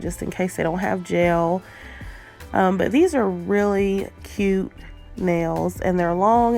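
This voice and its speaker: Casual vlogger, female, stuffy cold voice, gravelly, lots of ums, faint music behind. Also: medium pitch. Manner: chatty, frequent ums, relaxed. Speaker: nail art vlogger. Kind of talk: casual unboxing chat.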